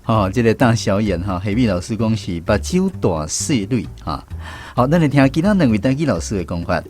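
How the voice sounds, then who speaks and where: A man's voice: on the low side, lively intonation, a little fast, a little mellow, soft background music. A man with a Taiwanese accent hosting an educational language quiz on the radio. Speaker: radio host of a language segment.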